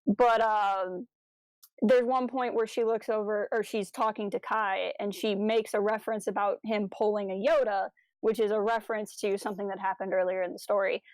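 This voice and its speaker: A woman's voice: medium pitch, flat delivery, normal speed, a little mellow, no room sound, low-quality recording. A woman co-hosting a podcast, chatting casually in an enthusiastic, analytical way about stories. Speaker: podcast co-host. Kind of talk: casual discussion of a fan audio drama.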